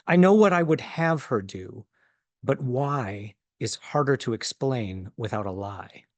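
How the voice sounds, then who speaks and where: A man's voice: mid-range voice, expressive, unhurried, no room sound. A man reading poetry aloud in a recitation style.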